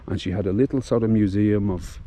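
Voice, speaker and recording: A man's voice: fairly low pitch, a little fast, dark tone. A man with a Dublin accent, talking casually and from the heart as he vlogs outdoors, with lots of fillers and restarts. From Ireland. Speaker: vlogger. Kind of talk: casual vlog commentary.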